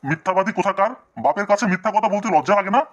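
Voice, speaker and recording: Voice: mid-range voice, very fast, lo-fi sound, natural voice. A performer voicing an angry village father in a comic cartoon, stern and berating.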